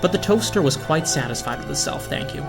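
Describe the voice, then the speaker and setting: A man narrates a storybook in a dramatic reading, his pitch moving freely and expressively. Film score music plays softly behind him. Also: animated, a little fast, creaky.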